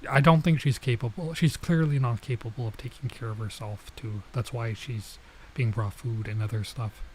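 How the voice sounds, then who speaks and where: Young man, chatty let's-play commentary, mid-pitched voice, faint hiss behind. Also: steady tone, moderate pace, rough recording. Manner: chatty, laid-back, lots of "like" and "bro". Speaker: streamer playing a visual novel.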